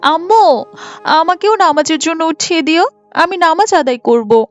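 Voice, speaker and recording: A woman's voice: very high-pitched, expressive, a little slow, pitched above the performer's own voice. A woman voicing an innocent, earnest little girl in a cartoon, pious and insistent in a childlike way. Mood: eager. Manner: childlike, insistent, asking questions of her mother. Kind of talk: Islamic moral cartoon voice acting. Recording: muffled, with music behind.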